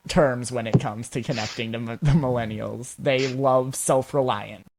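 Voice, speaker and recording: A woman's voice: a bass voice, steady tone, normal speed, a crowd in the background. A woman taking part in a casual group discussion as one of the panel. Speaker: panel participant.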